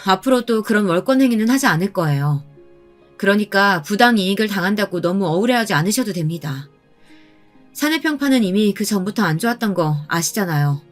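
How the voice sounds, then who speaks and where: Calm woman narrating a story over soft music. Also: mid-range voice, a little fast. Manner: calm and pointed, recounting with indignation. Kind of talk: scripted first-person story narration. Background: soft background music.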